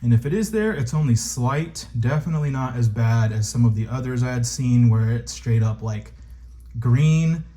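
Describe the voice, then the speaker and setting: A man recovering from a cold and a little stuffy, chatting excitedly to viewers as he unboxes and reviews a toy. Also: medium pitch, normal speed.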